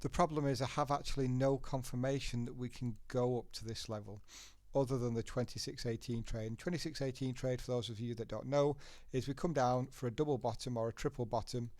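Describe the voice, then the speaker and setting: A man's voice: medium pitch, steady tone, a little fast, a little mellow, dry recording. A man with a head cold and a blocked nose, hosting a live trading webinar and walking through charts step by step in a chatty way. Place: working from a laptop in a home setting. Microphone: laptop.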